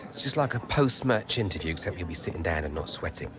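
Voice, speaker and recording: A man's voice: sits low, lively intonation, fast, crowd noise. A man playing an upbeat football agent in a video game cutscene, speaking in a reassuring, jokey way. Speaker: player's agent.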